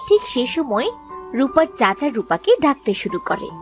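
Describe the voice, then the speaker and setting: Woman narrating with lively intonation. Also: high voice, moderate pace, faint music behind. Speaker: story narrator. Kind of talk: scripted children's story narration.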